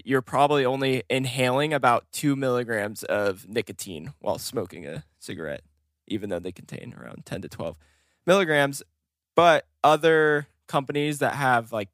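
Male podcaster: mid-pitched, casual, inquisitive explainer, dry close sound. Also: fairly bright tone, no room sound.

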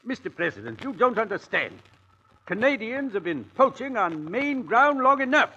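An actor playing a hot-headed, belligerent citizen who argues bluntly and indignantly in an old-time radio drama. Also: male voice, very high voice, sing-song, normal speed, bright, forceful tone, husky, full character voice. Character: hot-headed, belligerent man from Maine demanding war. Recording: dull, lo-fi old radio recording.

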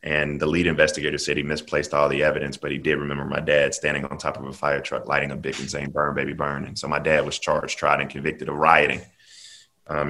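Podcast guest, man, very low and flat, bright forceful tone. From South Carolina, US. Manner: earnest, measured storytelling with frequent ums. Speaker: guest political commentator. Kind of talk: podcast interview.

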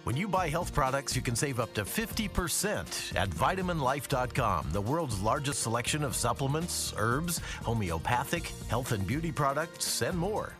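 A man's voice: mid-range voice, big pitch swings. A man reading a scripted commercial, upbeat, listing brands and a phone number. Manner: upbeat, brisk product listing. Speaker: ad announcer. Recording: ad with music bed.

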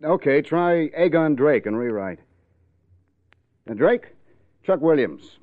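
A bitter, taunting newspaperman in a vintage radio drama. His pitch swings widely as he scorns the person he faces, and the recording is narrow and tinny like a phone line. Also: animated, slow. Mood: cold, scornful. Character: bitter, scornful male newspaper columnist confronting a woman. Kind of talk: 1940s radio drama acting. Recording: muffled narrow-band old radio recording.

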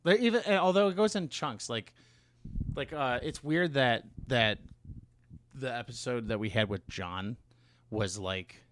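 A man chatting casually as a podcast co-host, halting and rambling as he corrects himself along the way. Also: mid-range voice, a bit bright. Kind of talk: casual chat.

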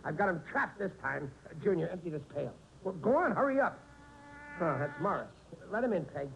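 An actor playing a blustering, exasperated working-class husband and father in a 1940s radio sitcom, loud, bossy and complaining. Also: high-pitched, expressive, fairly quick, vocal fry. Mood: indignant, blustering. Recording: dull, noisy old 1940s radio recording.

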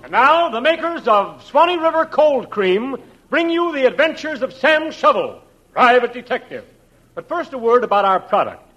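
Grandiose vintage radio pitchman, very high and swooping, theatrical, stretched-out phrases. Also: big pitch swings, normal speed, a bit bright, exaggerated voice. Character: grandiose male radio announcer hawking cold cream. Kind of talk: 1940s radio announcing, mock-dramatic. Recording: dull vintage radio recording, faint music.

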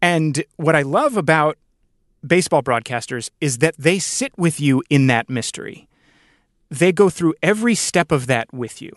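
A man's voice: on the high side, expressive, moderate pace, no room sound, clean studio sound. A man hosting a podcast interview, musing earnestly and reflectively in a conversational way.

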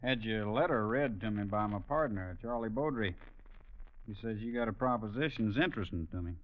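An American actor playing a laconic young Old West gunfighter in a radio drama, speaking in terse, drawling understatement. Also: male voice, fairly low pitch, a little fast, lightly acted. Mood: easygoing. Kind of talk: 1950s radio drama acting. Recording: tinny, narrow-band old radio recording.

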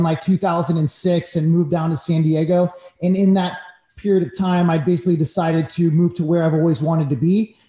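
A man from northern California tells personal stories in a relaxed, casual chat. His voice is mellow and on the higher side for a man. The room around him echoes clearly. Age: 40s. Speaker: podcast guest, real estate broker. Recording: roomy echo.

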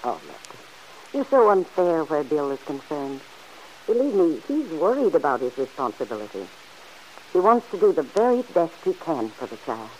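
An actress playing a kindly, principled older aunt who chides gently and earnestly in an old-time radio serial. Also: female voice, low-pitched, sing-song, moderate pace, poor audio. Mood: gently reproachful. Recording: hissy old radio recording.

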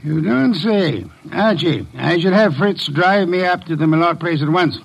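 An actor playing a shrewd, authoritative middle-aged detective boss who questions people deliberately in a classic radio drama. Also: male voice, on the high side, gravelly, slightly rough. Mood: probing, stern. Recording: muffled old radio broadcast recording.

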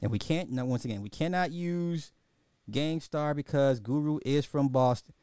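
A man's voice: on the high side, normal speed, darkish tone, clear, resonant tone, dry recording, studio quality. A man hosting a casual, opinionated commentary podcast in slangy, informal speech, thinking aloud and often repeating his own phrases. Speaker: podcast host.

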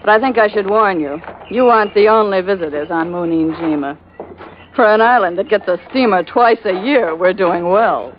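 An actress playing a cool, commanding, weary wife on a remote island in 1940s radio drama. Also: female voice, medium pitch, moderate pace, lightly acted. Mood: composed, weary. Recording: muffled old radio with faint noise.

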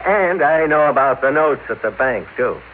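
An actor playing a stern, self-righteous company boss and brother in a vintage radio drama, speaking in an emphatic, moralizing way. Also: male voice, mid-range voice, animated, moderate pace, narrow, tinny audio, lightly acted. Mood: accusing. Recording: thin vintage radio sound, faint noise.

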